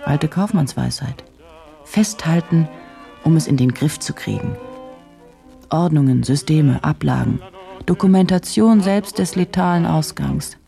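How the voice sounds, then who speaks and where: An actress playing an intense, probing female journalist in a radio drama, speaking in deliberate, clipped, analytical phrases. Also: low-pitched, vocal fry, dark tone, faint music behind. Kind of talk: German radio drama acting.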